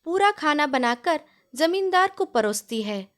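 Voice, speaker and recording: A woman's voice: high voice, big pitch swings, measured pace. A woman storyteller reading a scripted tale as narration.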